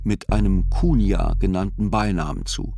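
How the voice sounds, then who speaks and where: A man acting as a writer who narrates a trial report in a radio play, measured, sober and precise. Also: deep voice, creaky, warm tone, a little hiss or hum.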